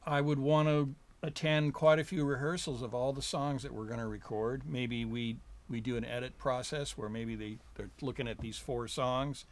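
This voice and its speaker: A relaxed man, a recording engineer, chatting as a guest in a studio, loose and chuckling with many fillers. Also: mid-range voice, normal speed. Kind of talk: casual interview chat.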